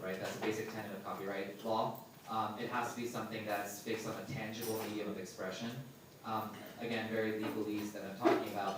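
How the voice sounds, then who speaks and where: Male panelist, steady and methodical, flat delivery, picked up from afar in a noisy, echoing hall. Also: on the low side. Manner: methodical, often tags "right?". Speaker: panelist answering audience questions. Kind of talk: live panel Q&A. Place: large indoor event space. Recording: echoey hall from far off, noisy.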